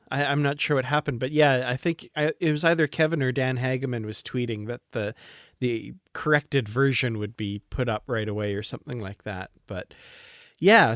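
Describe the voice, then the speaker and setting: A man's voice: mid-range voice, moderate pace, a bit bright, no echo. Male podcast host, conversational and informal, recorded dry. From Canada. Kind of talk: casual review discussion.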